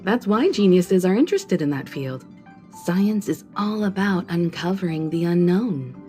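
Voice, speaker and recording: A woman voicing a poised, mature crew leader who speaks calmly and with gentle authority in a game cutscene. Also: fairly low pitch, moderate pace, lightly acted. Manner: calm, composed, gently authoritative. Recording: game cutscene with faint music.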